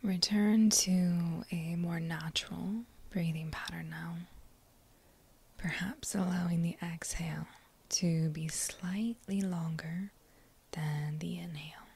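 Slow, whispering woman guiding meditation. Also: fairly low pitch, steady tone. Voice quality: breathy, whispery. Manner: gentle, soothing, unhurried with long pauses. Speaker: meditation guide. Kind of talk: guided meditation, whispered soft-spoken.

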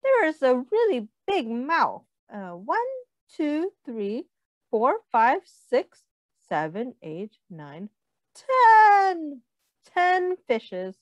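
Young female teacher, high-pitched and very slow, children's read-aloud with explanations and questions to the listener. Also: wildly swooping pitch, no echo. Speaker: teacher reading a picture book aloud.

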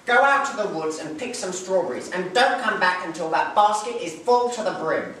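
A man voicing a flustered, scolding poor mother with a shrill, frantic manner, recorded off-mic in a very echoey room. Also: normal speed, pushed and bright, far from the mic, a little hiss or hum. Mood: sharp.

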